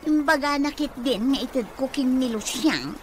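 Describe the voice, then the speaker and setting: A young office worker and doubting daughter in a radio comedy-drama. Her voice sits high. There is faint noise in the recording. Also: animated, normal speed. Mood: complaining.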